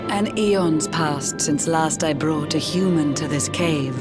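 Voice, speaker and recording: A woman's voice: low-pitched, slow pace. A woman playing a regal mermaid queen in a video game, speaking stately, grave rhyming narration over music. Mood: solemn, mystical.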